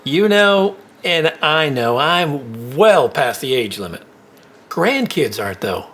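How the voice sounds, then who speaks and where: An actor playing a kindly older company employee in an audio drama, folksy, wry and a little hesitant. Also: male voice, a little high, very animated, takes it slowly, fairly bright tone, full character voice. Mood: wry, friendly. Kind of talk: naturalistic audio drama dialogue.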